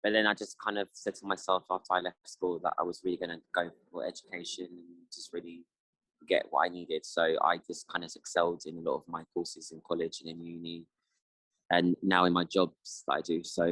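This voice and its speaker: A man in his thirties with a low voice talks things through slowly in an interview. He fills his answers with ums and hedges. The sound is close and dry. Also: fairly bright tone, dry recording, rough recording. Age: 30s. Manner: reflective, with many fillers and hedges. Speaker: interview guest. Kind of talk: casual interview talk.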